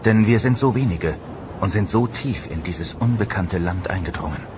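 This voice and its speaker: A man's voice: low-pitched, moderate pace, background noise, close to an everyday voice. A man voicing a frightened Spanish conquistador soldier in a documentary, speaking tensely in the first person. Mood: anxious. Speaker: voice of a Spanish conquistador recalling events. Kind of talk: documentary voice-over reenactment.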